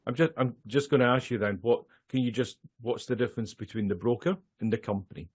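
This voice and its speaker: A man with a voice of middle pitch hosts the interview. His questions come out friendly and a bit halting. His tone stays relaxed and conversational. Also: husky. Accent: non-Australian. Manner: friendly and halting, with fillers and restarts. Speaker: host. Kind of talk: casual interview hosting.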